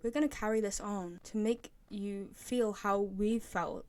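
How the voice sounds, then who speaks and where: A woman's voice: mid-range voice, measured pace, darkish tone. A young woman with a British accent giving casual opinion commentary as a video host, often repeating herself for emphasis. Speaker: video host, game fan.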